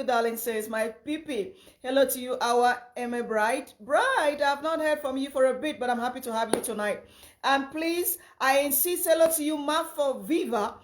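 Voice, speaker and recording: A young woman from Cameroon with a slightly hoarse voice, hosting a casual livestream and greeting viewers by name, often repeating herself. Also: a little high. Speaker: live chat host.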